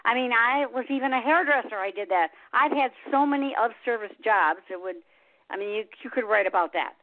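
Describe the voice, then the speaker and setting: A woman's voice: a little high, expressive, brisk. Older woman talking over a tinny line. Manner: chatty, flowing, confiding. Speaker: radio show host. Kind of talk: casual interview chat. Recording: dry phone-line sound.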